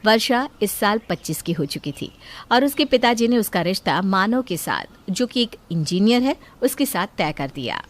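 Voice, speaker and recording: A woman's voice: mid-range voice, sing-song, moderate pace. A woman narrator telling a family story in scripted narration.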